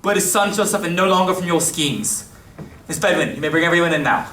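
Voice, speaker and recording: In a roomy, echoing hall, picked up from a distance, a man plays a stern yet kindly English gentleman for a radio play. He speaks formally and declaims in a fairly high male voice. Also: a little fast, lightly acted. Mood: stern, accusing. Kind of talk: amateur stage radio play acting. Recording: echoey hall from far off, faint noise.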